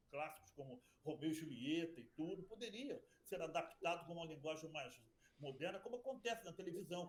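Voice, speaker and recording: A man's voice: high-pitched, a little hiss or hum, rough recording. A Brazilian man giving a rambling interview answer about radio drama, often restarting his sentences. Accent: Brazilian Portuguese. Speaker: guest, radio drama producer.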